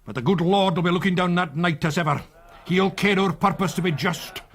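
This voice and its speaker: An actor playing a canny, worldly Scottish villager with broad dialect and a wry, scheming manner in a period radio drama. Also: male voice, high-pitched, a little fast, fairly bright tone. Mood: gleeful, bold.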